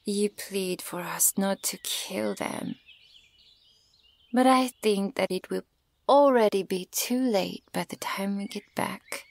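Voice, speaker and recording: Stern, creaky-voiced elven archer woman, played by an actress. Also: medium pitch. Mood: scornful. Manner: measured, dramatic, accusing. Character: centuries-old elven archer woman, stern, bitter toward humans. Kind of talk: ASMR fantasy roleplay audio acting.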